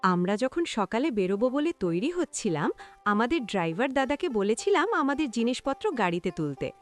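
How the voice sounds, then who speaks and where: A woman's voice: on the high side, sing-song, normal speed, faint music behind. A woman voicing a polite visiting mother who explains things in a cartoon. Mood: explaining.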